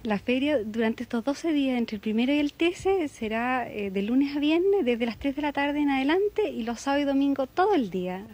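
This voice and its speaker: A woman's voice: high-pitched, lively intonation, moderate pace, few pauses, a little mellow. A woman in her thirties with a Chilean accent, answering an on-location TV interview in an explanatory way, often seeking agreement. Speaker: book fair coordinator being interviewed. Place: indoor book fair stall. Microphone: handheld interview microphone.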